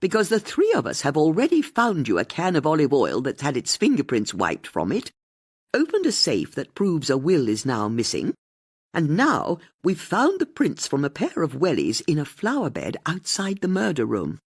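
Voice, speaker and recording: An audiobook narrator voicing a confident, bossy older woman amateur sleuth with a brisk, emphatic manner. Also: mid-range voice, huge pitch swings, slightly rough, no echo, clean studio sound, voice pushed up, heavily acted. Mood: indignant, imperious.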